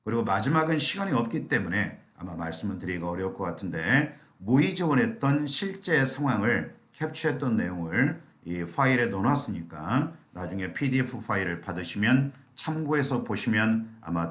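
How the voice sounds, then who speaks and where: A middle-aged man giving an explanatory seminar presentation with a slightly hoarse, strained voice and frequent rhetorical questions. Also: fairly low pitch, animated, normal speed, few pauses, a bit bright. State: strained throat from long talking. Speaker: admissions consultant giving a lecture. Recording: echoey room.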